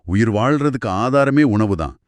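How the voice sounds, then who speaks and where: Male podcast co-host, mid-pitched and animated, explanatory and questioning, dry close studio sound. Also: normal speed, dry recording. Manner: explanatory, posing reflective questions. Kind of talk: conversational podcast discussion of a folk tale.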